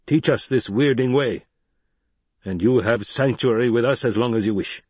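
A man voicing a stern, proud leader of a desert tribe, grave and forceful. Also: mid-range voice, moderate pace, husky, no room sound, close to an everyday voice.

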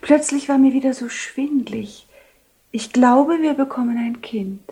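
An actress playing a gentle, dignified duchess and loving wife in a radio play, speaking in a soft, restrained, tender manner. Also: female voice, high-pitched, unhurried. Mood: tender, subdued. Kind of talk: 1970 radio play acting.

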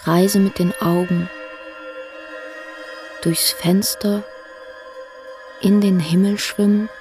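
A pensive woman played by an actress in a poetic radio play. Her mid-range voice is dark and soft-edged. She places sparse phrases very slowly over faint music. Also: mid-range voice, little pitch movement, natural voice. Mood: contemplative. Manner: measured, fragmentary, deliberate phrasing.

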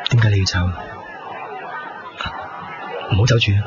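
A man's voice: lowish voice, fairly even intonation, rapid-fire, crowd noise, close to an everyday voice. Actor as lovestruck young Hong Kong man, lo-fi radio. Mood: insistent. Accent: Hong Kong Cantonese. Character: lovestruck, earnest young man reunited with old love. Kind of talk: Cantonese radio drama acting with inner monologue. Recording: dull lo-fi 1990s radio, loud guitar music.

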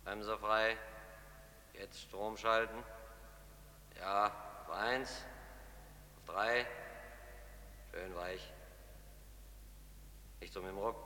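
An actor playing a patient tram driving instructor in a radio play, heard in a reverberant space. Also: male voice, on the low side, steady tone, very slow, long pauses, sharp tone, exaggerated voice. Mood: instructive. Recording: reverberant echoey space, faint hum.

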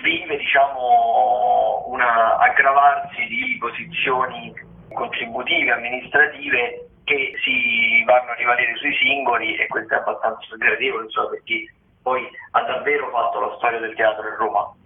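A man with a mid-range voice delivers a cultural briefing as a critic. He talks in a discursive, loosely linked way. The line is phone-like and thin, with clear room echo around him. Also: mid-range voice, fairly even intonation, normal speed. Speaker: theatre critic, radio contributor. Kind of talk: spoken cultural news briefing. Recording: roomy, tinny phone line.

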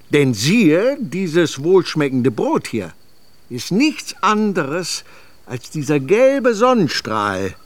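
An actor playing a bookish, philosophical village apothecary in a radio play, speaking deliberately and savouring his phrases. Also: medium pitch. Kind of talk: radio play acting with storytelling.

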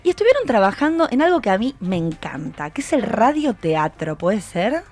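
A woman's voice: mid-range voice, very animated, normal speed. An Argentine woman hosting a casual live radio interview, encouraging her guests and prompting them with questions. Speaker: radio show host interviewing students. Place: radio studio. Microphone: studio microphone.

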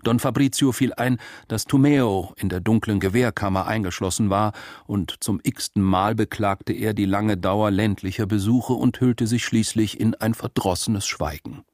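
A man narrating a literary story from a script in an expressive, measured storytelling manner. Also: lowish voice, no room sound, studio quality.

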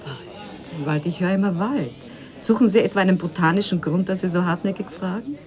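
A woman plays a sardonic conference guest in a radio drama. Her voice sits slightly low, and her wit is edgy and mocking. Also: brisk, darkish tone, lightly acted. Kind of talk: German radio drama acting.

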